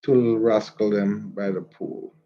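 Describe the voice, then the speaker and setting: A man's voice: lowish voice, steady tone, normal speed. A man with a stuffy nose, chatting casually on a livestream and telling stories with frequent laughter. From Jamaica. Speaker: vlogger. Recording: dull lo-fi phone audio.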